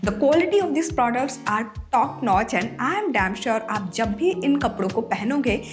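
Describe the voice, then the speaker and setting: A woman's voice: a little high, lively intonation, slow, over music. A young woman with a slightly sore throat, chatting casually as she reviews outfits for her channel and switching freely between Hindi and English. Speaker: fashion vlogger. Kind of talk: casual haul review to camera.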